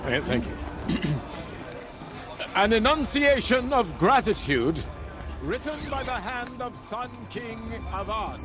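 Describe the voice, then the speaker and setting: An actor playing a timid, flustered priest envoy who proclaims formally and haltingly in a fantasy game, pompous and ceremonious. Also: male voice, extremely high pitch, big pitch swings, exaggerated voice. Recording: loud music and shouting crowd behind.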